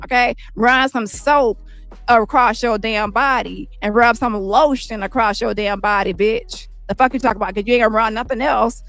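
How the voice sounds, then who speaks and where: A woman streamer ranting with heavy profanity, roasting critics with quick insults in a squeaky voice. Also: fairly even intonation, a bit bright, no room sound, faint music behind. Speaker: commentary streamer. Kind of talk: ranting commentary.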